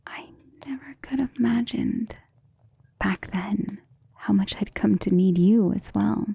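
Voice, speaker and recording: A woman voicing a centuries-old vampire lover in an ASMR romantic roleplay, soft, breathy and often whispering, intimate and gentle, with formal wording. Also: lowish voice, dry recording, full character voice. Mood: tender, vulnerable. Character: centuries-old female vampire lover, tender, protective, self-assured.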